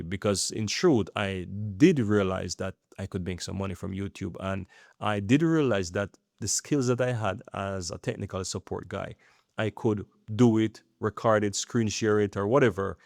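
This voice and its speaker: A man's voice: fairly low pitch, creaky, a little mellow, no echo, studio quality. A man in his thirties talking earnestly in a rambling vlog, often checking in with "right?". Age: 30s. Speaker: YouTube creator. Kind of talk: casual talking-head vlog.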